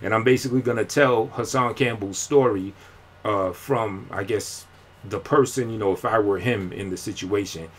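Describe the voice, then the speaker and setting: A man's voice: on the low side, moderate pace, husky. A man talking casually on a live stream, rambling in slang with lots of fillers and restarts. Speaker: independent rapper and vlogger.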